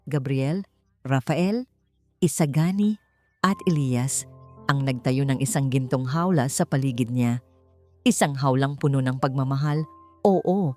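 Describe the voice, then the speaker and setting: A woman with a Filipino accent narrating a scripted romance story in a dramatic, deliberate way. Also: sits low, mellow. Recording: music behind the voice. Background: background music.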